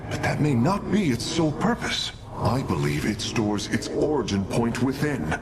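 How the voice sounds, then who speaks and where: An earnest alien scientist explains things formally and precisely. His pitch moves in animated swoops, inquisitive and eager, in game cutscene style with clear background noise. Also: moderate pace. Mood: earnest, intrigued. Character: eager male alien engineer, scholarly and earnest. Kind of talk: video game cutscene acting.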